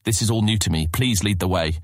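Man playing an unsure young man, softly husky. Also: deep voice, a little fast, no echo, clean studio sound. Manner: hesitant, apologetic, questioning. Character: unsure, polite young male guild newcomer, puzzled. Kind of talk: fantasy audiobook character acting.

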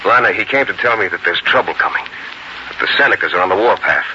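A dull, noisy vintage radio recording carries an earnest young frontier husband. His pitch moves widely as he speaks in a steady, protective manner, with the dramatic delivery of 1940s radio. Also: quick pace. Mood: firm, reassuring. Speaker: lead actor and first-person narrator.

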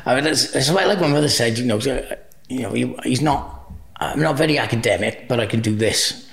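A middle-aged man with a Cardiff accent and a gravelly edge to his voice, Welsh his first language. He chats easily as an interview guest, wandering through his story with frequent false starts. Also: medium pitch, fairly quick, fairly bright tone. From Wales. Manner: rambling, restarts often, easygoing. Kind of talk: casual interview chat.

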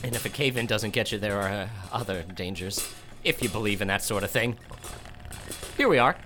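An actor playing a gruff, blunt worker who teases dryly in an audio drama. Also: male voice, fairly low pitch, brisk, music in the background, close to an everyday voice. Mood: wry. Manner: blunt, dry teasing. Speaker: lightning rig worker.

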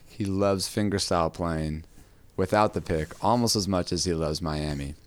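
A man hosting a casual podcast about guitar, his voice raspy from a cold, talking in a relaxed, rambling way with many fillers. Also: sits low, moderate pace, no room sound. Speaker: podcast host.

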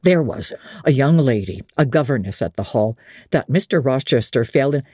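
An older country innkeeper, as an audiobook reader puts him on, leans in to share gossip in rustic, confiding speech. His voice is dark and husky, recorded dry and close. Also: mid-range voice, animated, normal speed, no room sound. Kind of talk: audiobook character reading.

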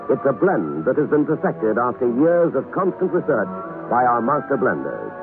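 A man's voice: mid-range voice, huge pitch swings, moderate pace. A man announcing for a 1960s radio sponsor with dramatic, polished salesmanship. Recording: tinny band-limited old radio recording. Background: music.

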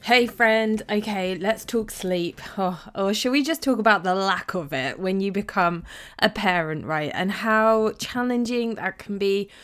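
A woman's voice: medium pitch, a little slow, a bit bright, clean tone, soft background music. Woman, 30s, podcast host, chatty and confiding, a bit stuffy with a cold. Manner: chatty, confiding, with many fillers. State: slightly bunged up with a cold. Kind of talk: casual podcast monologue.

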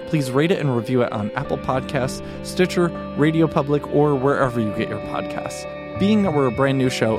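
Male credits announcer, mid-range pitch, scripted narration, music behind. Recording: music bed under voice.

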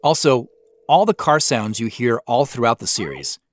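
An American man hosting a scripted behind-the-scenes commentary in a measured, explanatory manner. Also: mid-range voice, big pitch swings. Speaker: show creator and host.

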